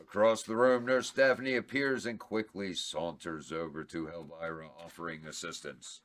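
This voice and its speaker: A man's voice: sits low, fairly even intonation, moderate pace, a bit bright. A man reading stage directions aloud at a table read, laid-back and chuckling. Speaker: script reader and cast member.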